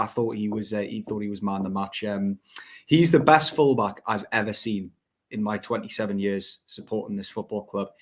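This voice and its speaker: A man of about thirty, an enthusiastic football fan on a podcast, speaking emphatically with a voice just back after losing it. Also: mid-range voice. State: voice recovering after being lost. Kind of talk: casual football match review.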